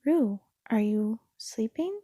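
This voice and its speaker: A woman voicing a gentle, caring mother kangaroo in a storybook, with a motherly way of talking. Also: a little high, lively intonation, takes it slowly, breathy edge, dry recording, clean studio sound, full character voice.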